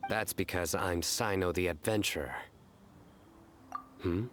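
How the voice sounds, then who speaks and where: An actor playing a solemn young general turned adventurer in a video game, speaking in a formal, deadpan way. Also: male voice, deep voice, measured pace, slight background noise.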